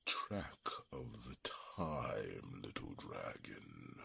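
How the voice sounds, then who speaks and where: A man playing a courtly, reserved dragon in an ASMR fantasy roleplay, speaking formally and deliberately in a breathy, gravelly rasp. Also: extremely low pitch, bright, forceful tone, exaggerated voice. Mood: guarded fondness. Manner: formal, slow, deliberate, with thoughtful hums. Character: courtly male dragon, reserved, gently evasive about love.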